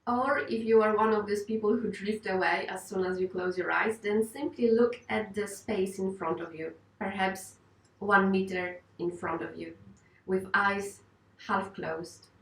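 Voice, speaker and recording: Woman, meditation instructor, unhurried reassuring delivery, mid-pitched voice, recorded at a distance with room echo. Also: fairly even intonation, creaky. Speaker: yoga and meditation teacher. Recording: roomy, distant microphone.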